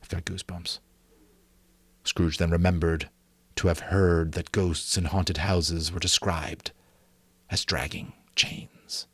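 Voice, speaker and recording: Slow, deep-voiced man narrating dramatically. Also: level tone, fairly bright tone, slightly rough, dry recording. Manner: theatrical, deliberate, suspenseful. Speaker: amateur audiobook narrator.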